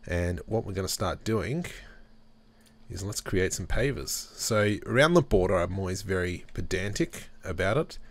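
A man hosting a screen-recorded software tutorial, thinking aloud in a relaxed way while he works at his computer. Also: sits low, normal speed. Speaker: tutorial host.

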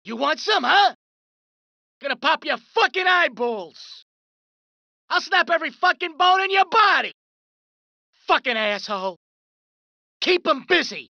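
A woman's voice: high-pitched, creaky, pushed and bright, no echo, exaggerated voice. A woman voicing a hot-tempered male mafia street thug from a video game, brash and profane. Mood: combat rage. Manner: brash, profane, swaggering tough-guy talk. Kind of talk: reciting video game pedestrian lines. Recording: dull lo-fi dry recording.

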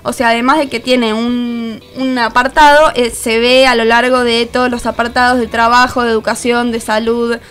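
Higher-voiced Argentine woman, fairly even tone. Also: normal speed, hardly any pauses, smooth. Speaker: radio columnist. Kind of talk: radio discussion. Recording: music playing behind the voice. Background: music.

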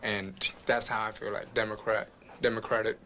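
A man's voice: lowish voice, level tone, normal speed, sharp tone, slight background noise. A young man, a college student, answering an interview hesitantly, searching for his words. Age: young adult.

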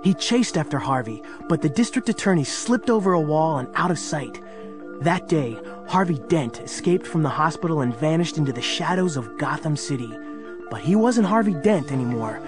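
A man's voice: fairly high pitch, animated, normal speed. A man narrating an audio story in a dramatic, scripted storytelling style. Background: music.

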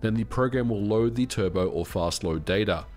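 A middle-aged man, around his forties, giving a scripted explanatory narration as a video host in a measured, instructional way. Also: fairly low pitch, darkish tone. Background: background music.

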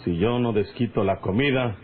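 An actor playing a bold, wry cowboy avenger with folksy speech and a Mexican accent in a radio drama. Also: male voice, on the low side, normal speed, close to an everyday voice. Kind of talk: old radio drama acting. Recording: tinny, noisy old radio recording.

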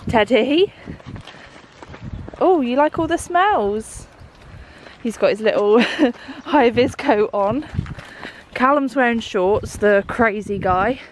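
Vlogger, 20s woman, husky voice, rambling casual chat, faint hiss behind. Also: fairly high pitch, lively intonation, takes it slowly, pauses often. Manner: chatty, rambling, fillers like "like". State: unwell, voice going. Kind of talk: casual vlog chat to camera. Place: bedroom indoors, then outdoors in countryside. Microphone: handheld camera at arm's length.

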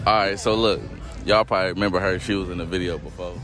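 A man's voice: low-pitched, wildly swooping pitch, moderate pace. A young man with a Cleveland accent hosting a slangy, joking street interview outdoors at a beach park, speaking into a handheld phone mic. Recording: music and crowd behind.

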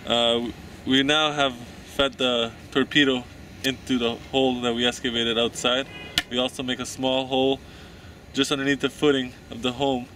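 Plumber over humming machinery. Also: male voice, mid-range voice, little pitch movement, normal speed, sharp tone, slight background noise. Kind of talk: describing work in progress.

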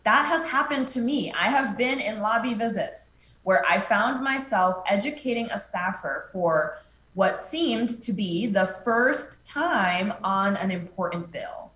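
A young woman giving a prepared instructional talk in a clear, structured, explanatory way over a video call. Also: medium pitch, animated, unhurried, fairly bright tone. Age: young adult. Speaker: advocacy training presenter. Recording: roomy, echoey webcam audio with noise.

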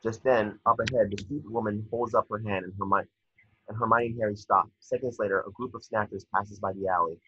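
A man narrating scripted stage directions at a table read, measured with small stumbles, over a video call. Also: on the low side, fairly even intonation, pushed and bright, breathy edge, dry recording. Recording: dull lo-fi video call audio.

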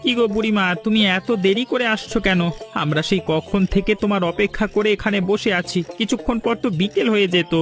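A young villager in a cartoon fairy tale. He has a high voice for a man and speaks earnestly and deferentially over faint music. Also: brisk, fairly bright tone. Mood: complaining.

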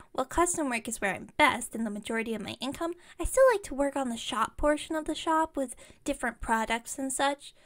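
A woman playing an enthusiastic, slightly incompetent witch shopkeeper in an audio roleplay, rambling on in a friendly, bubbly way. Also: high voice. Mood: upbeat, friendly. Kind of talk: ASMR roleplay audio, one-sided chat with listener.

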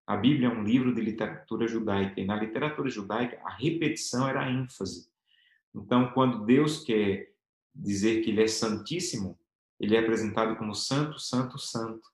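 A man in his thirties from Brazil teaching a Bible lesson to camera, earnest and emphatic, stretching key words for stress. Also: fairly low pitch. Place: home office with bookshelves, indoors. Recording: echoey room with soft background guitar.

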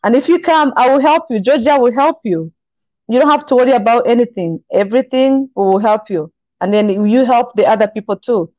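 Young woman, English, warm low tone, dry dull call audio. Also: dry recording. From Congo. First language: not English. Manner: earnest, encouraging, halting phrasing. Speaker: guest. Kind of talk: video call interview. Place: kitchen indoors. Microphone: video call.

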